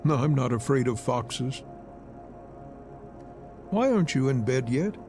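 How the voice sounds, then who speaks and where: A man voicing a protective, soothing mother with a calm, caring manner in a visual novel reading. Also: mid-range voice, unhurried, soft background music, natural voice.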